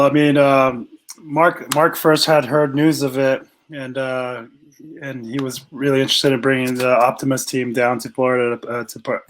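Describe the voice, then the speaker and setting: A man speaking casually as an interview guest, telling things in a halting, earnest way with many fillers. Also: mid-range voice, a little hiss or hum. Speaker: guest, rugby coach and organizer.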